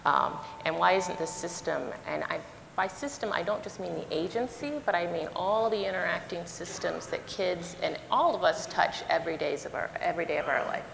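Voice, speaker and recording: A woman panelist speaking in a measured way, correcting herself as she goes. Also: fairly low pitch, creaky, echoey room, big-room sound, noisy background. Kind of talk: conference panel discussion. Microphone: lapel mic.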